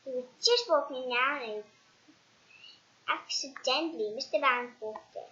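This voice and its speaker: A child reading a storybook aloud in an animated way, haltingly, with restarts and dramatic emphasis. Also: high voice, highs cut off. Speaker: storybook reader.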